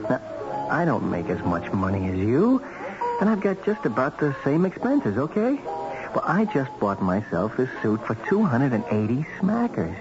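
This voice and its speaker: An actor playing a breezy, worldly big spender and tempter, folksy and teasing, in 1970s radio drama style. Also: male voice, mid-range voice, huge pitch swings, moderate pace. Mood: sly, tempting. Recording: dull old radio drama recording, music behind.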